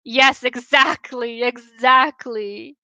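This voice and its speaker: A woman's voice: on the high side, fairly even intonation, takes it slowly, bright, forceful tone, no echo, highs cut off. A young woman from Romania with a Romanian accent, chatting candidly with her livestream viewers and swearing casually. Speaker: livestream host.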